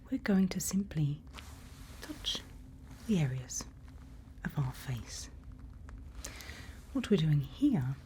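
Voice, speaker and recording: A woman playing a calm forest night-watch guide in an ASMR roleplay, whispering soothing, unhurried instructions close to the microphone. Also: deep voice, slight background noise.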